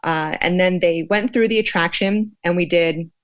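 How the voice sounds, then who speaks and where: A woman explaining her research in an interview, in a thoughtful, halting, conversational way. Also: fairly low pitch, muffled recording. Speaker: guest, sociologist and fear researcher.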